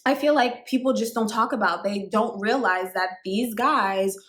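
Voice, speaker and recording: A woman's voice: on the high side, normal speed, fairly bright tone. Chatty woman in her thirties, echoey room. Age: 30s. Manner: chatty, emphatic, repeats "like" and "actually". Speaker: dating coach. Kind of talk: direct-to-camera advice talk.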